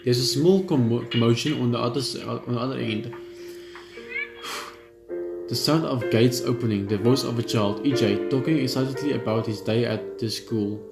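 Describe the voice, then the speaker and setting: Male game reader, calm reflective narration, mid-pitched, roomy sound with a music bed. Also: moderate pace, creaky. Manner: steady, reflective reading with small slips and restarts. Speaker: gamer reading a visual novel aloud. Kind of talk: first-person story narration.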